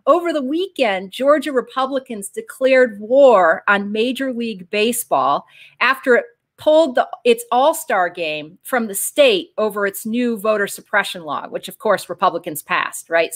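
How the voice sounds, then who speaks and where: A middle-aged woman hosting a political discussion show over a video call, who gets excited and jumps up into a higher voice. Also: moderate pace. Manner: excitable, pitch jumps high when excited. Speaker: show host. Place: home room with bookshelves.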